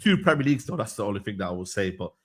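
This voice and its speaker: A man's voice: on the low side, sing-song, very fast, a bit bright, slightly rough. A man, arguing about football in a casual chat, rambling and repeating himself as he stumbles over his words. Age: 30s. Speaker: podcast host. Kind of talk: heated casual football debate.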